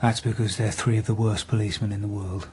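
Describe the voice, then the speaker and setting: An actor playing a calm, dry senior detective in a radio drama, husky and understated. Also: male voice, on the low side, fast. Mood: calm, gently probing. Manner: understated, gently probing. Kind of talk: naturalistic radio drama acting.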